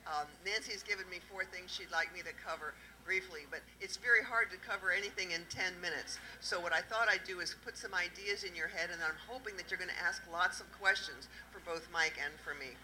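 Bright-voiced Southern woman quizzing a crowd. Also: on the low side, brisk, hardly any pauses, low-quality recording. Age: middle-aged. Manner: lively, quizzing the audience with questions. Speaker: tax accountant presenting at a panel. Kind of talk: interactive talk to a live audience. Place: event room, indoors. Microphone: handheld microphone.

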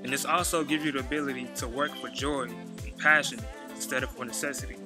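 A man's voice: mid-range voice, fairly even intonation, brisk. A man in his twenties from Texas, giving an earnest, motivational scripted promo monologue. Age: 20s. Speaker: participant in a promo video. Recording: music bed behind the voice. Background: music.

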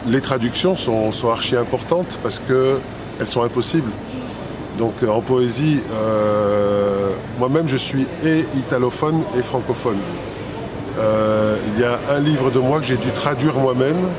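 A man's voice: medium pitch, a little slow. A man in his fifties, a poet and publisher, answering interview questions thoughtfully with many hesitations and restarts. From Italy and Belgium. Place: city square outdoors, traffic nearby. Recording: outdoor street noise.

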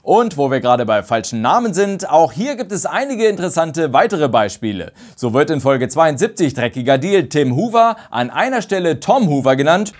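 Host in his thirties with a lively, up-and-down voice, a touch higher than most men. He speaks into a lapel mic in an indoor room. He tells his amused stories with energy. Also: moderate pace, soft background music. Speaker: video host. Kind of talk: commentary on radio-play bloopers. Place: indoor studio room with shelves and a clock.

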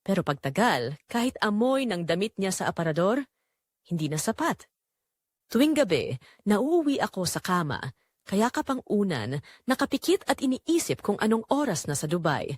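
A woman's voice: mid-range voice, big pitch swings, normal speed, darkish tone, dry recording, studio quality. A woman with a Filipino accent playing a lonely newlywed wife, confiding earnestly in first-person audio drama narration. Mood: wistful, lonely. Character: lonely young newlywed wife confessing her secret.

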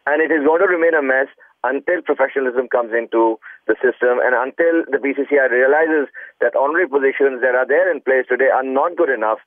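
A man's voice: medium pitch, fast, dry recording. An older man with an Indian accent giving blunt, emphatic opinions as a guest on a news programme, with some hesitation. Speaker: former cricketer, guest commentator. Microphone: phone. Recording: dry, narrow phone line.

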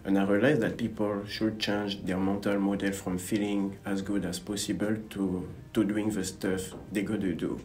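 A young man from Gabon, a university student, speaking in a relaxed, reflective way to camera. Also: on the low side, normal speed, few pauses. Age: young adult. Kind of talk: interview to camera. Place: indoor university building lobby. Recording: faint music under the voice.

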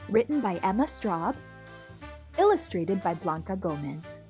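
A woman reading a children's picture book aloud as a storytelling host, in an expressive manner for young listeners. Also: mid-range voice, a little slow, loud music behind the voice. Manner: animated, clearly paced storytelling for young children.

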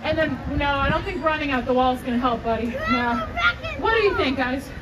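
A young woman hosting an animated story time for children, exaggerated and encouraging as she addresses them. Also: high voice, a little fast. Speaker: theme park storyteller. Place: outdoor theme park stage, crowd behind. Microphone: picked up by distant camera. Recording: distant, echoey outdoor crowd, loud noise.

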